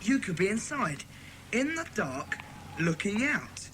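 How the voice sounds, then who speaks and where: A keen, careful schoolboy sleuth in a children's audio drama. His delivery is lively and varied, with clear ambient noise behind. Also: mid-range voice, slow. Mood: discovering. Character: Colin, keen careful young boy detective.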